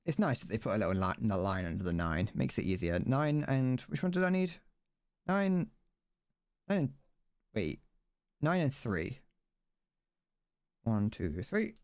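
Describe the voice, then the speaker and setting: A man's voice: mid-range voice, big pitch swings, unhurried, pauses often, a little mellow, clear, resonant tone, no echo, clean studio sound. A young man streaming as he builds a model kit, chatting casually, rambling, correcting himself and stumbling over his words.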